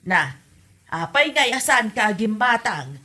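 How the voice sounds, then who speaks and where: An inquisitive village seller woman acted by a woman in a comedy audio drama. She talks slowly in a somewhat high voice. Her questions come drawn out. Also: very animated, pushed and bright, heavily acted. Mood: curious. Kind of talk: comedy radio drama acting.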